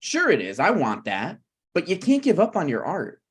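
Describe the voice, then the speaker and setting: A man's voice: on the high side, huge pitch swings, normal speed, husky, full character voice. A man playing a stubborn, snarky broke writer with dry, needling delivery in a comedy table read. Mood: argumentative.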